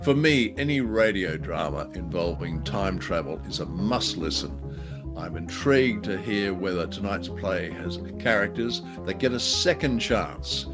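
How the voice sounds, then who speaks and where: Lowish-voiced wry podcast man. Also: expressive, a little slow. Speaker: podcast co-host. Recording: guitar music behind voice.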